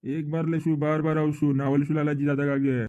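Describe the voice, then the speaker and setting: A man's voice: fairly high pitch, little pitch movement, fairly quick, mellow, highs cut off, close to an everyday voice. A man voicing a pushy, cheerful market stall seller in a comedy cartoon, chanting repeated sales calls with a rural western Madhya Pradesh accent. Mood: hawking, eager. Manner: repetitive hawking chant. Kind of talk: cartoon street-vendor calling.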